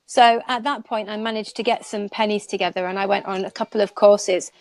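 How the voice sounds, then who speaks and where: A woman's voice: mid-range voice, steady tone, fairly quick, no room sound. Chatty woman, dry close sound. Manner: chatty, rambling, laughs often. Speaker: guest nail technician. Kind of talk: casual live chat.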